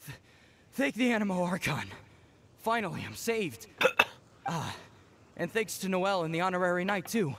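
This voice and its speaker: An actor playing a timid, grateful young villager in an anime-style video game, with a nervous, sheepish manner. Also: male voice, sits high, animated, normal speed, pauses often, pushed and bright, heavily acted. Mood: relieved, grateful.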